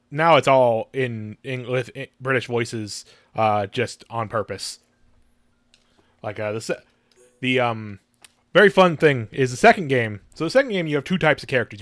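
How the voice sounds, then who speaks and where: A man livestreaming a video game, chatting casually in a halting, rambling way with many false starts. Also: medium pitch, fairly bright tone, no room sound.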